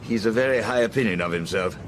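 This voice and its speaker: An actor playing a genial, theatrical English gentleman with a breezy sailor's heartiness in a radio drama. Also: lowish voice, fast talker, lightly acted. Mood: chatty. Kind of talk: British radio drama acting. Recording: noisy radio play recording.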